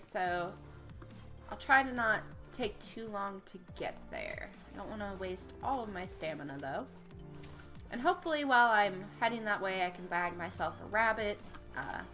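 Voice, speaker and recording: A woman's voice: medium pitch, fairly bright tone. Stuffed-up woman chatting over gameplay. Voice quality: slightly nasal. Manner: chatty, thinking aloud while playing. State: stuffed up from a cold. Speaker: let's play gamer. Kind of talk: casual gameplay commentary.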